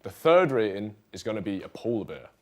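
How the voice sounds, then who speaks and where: A young man reporting and rating places in casual chat with his co-presenter, trailing off quietly at the ends. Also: on the low side, very animated, brisk. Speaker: student reporter.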